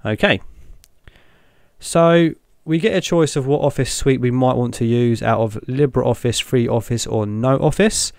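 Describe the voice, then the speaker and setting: A young man hosting a tech channel, talking through each step in a relaxed way as he clicks through an install on screen. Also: mid-range voice, a little slow, smooth, no echo, clean studio sound. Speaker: tech video host. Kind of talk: screen-recorded walkthrough narration.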